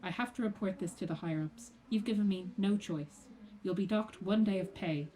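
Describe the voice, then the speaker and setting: A woman's voice: medium pitch, moderate pace, background noise, lightly acted. A woman playing a stern, exasperated factory supervisor in a radio play, reproachful and sarcastic.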